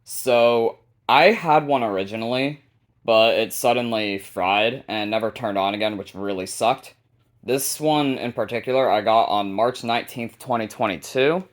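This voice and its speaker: A man hosting a casual, unscripted hobby video showcase, talking informally and a bit haltingly. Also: medium pitch. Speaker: hobbyist video host showing a radio collection.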